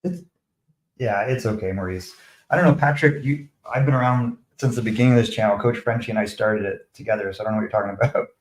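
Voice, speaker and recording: A man's voice: fairly low pitch, quick pace, roomy sound, low-quality recording. A man from Canada hosting a live hockey stream, commenting on the game and answering viewers in a chatty, defensive way. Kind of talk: casual live commentary, replying to chat.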